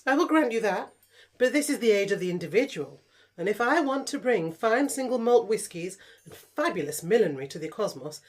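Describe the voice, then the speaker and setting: A woman's voice: medium pitch, animated, fairly quick, natural voice. A British woman playing a rich, eccentric, flippant woman adventurer in an audio drama, posh and theatrical. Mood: grandly enthusiastic. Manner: flippant, theatrical, genteel endearments.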